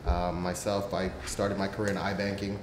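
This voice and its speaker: A man with a lowish voice, speaking in an echoey room with clear background noise. He talks in a matter-of-fact way and pauses on fillers. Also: level tone. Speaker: panelist. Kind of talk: panel discussion. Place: event room before an audience.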